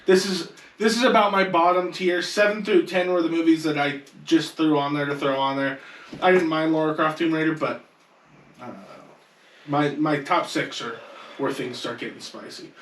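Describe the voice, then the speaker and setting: Male podcast host, slightly higher register, casual chat, set back in a very roomy space. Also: steady tone, moderate pace, a bit bright, a little hiss or hum. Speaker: podcast co-host moving the rankings along. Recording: echoey room, distant from mic.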